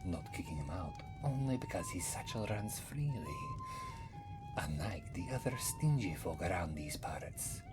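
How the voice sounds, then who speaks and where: A man voicing a very old, wry, courteous cat-folk former priest in a fantasy roleplay ASMR, softly, often slipping into a whisper, musing about himself in the third person. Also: deep voice, huge pitch swings, a little slow, full character voice, rough recording. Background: music.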